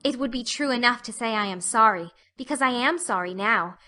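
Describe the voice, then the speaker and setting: A woman acts out an imaginative little girl in an audiobook drama. The voice is clear-edged, bright and somewhat high. She is earnest and theatrical, with a plaintive, solemn turn to her wordy speech. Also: fairly quick. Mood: wistful, earnest.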